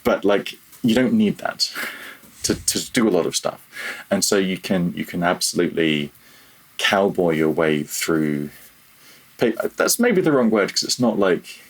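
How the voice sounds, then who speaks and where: A man's voice: deep voice, sing-song, measured pace, slightly rough. A man speaking as a guest in a casual technical interview, thinking aloud and often correcting himself mid-phrase. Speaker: guest, audio programmer.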